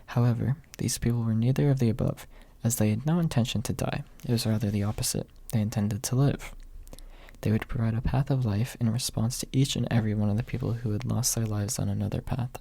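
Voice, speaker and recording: Hushed, creaky-voiced young man reading aloud. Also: mid-range voice, fairly even intonation, fast talker, no echo. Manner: soft-spoken, hushed late at night. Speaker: amateur audiobook reader. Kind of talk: ASMR, quiet storybook narration. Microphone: close USB microphone without pop filter.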